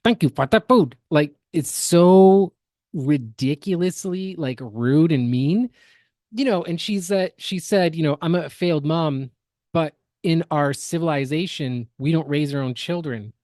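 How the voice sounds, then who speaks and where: A man's voice: a little high, measured pace, a little mellow, dry recording. Analytical man giving dry-room podcast commentary. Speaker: podcast co-host reacting to game cutscenes. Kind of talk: casual critical commentary.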